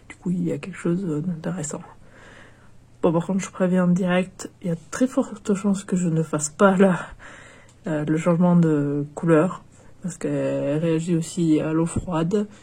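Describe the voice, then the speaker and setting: A woman's voice: lowish voice, gravelly, dark tone, slightly rough. A young woman with a cold, chatting hesitantly through a doll unboxing with many fillers and restarts. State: has a cold, nasal and stuffy. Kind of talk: casual unboxing commentary.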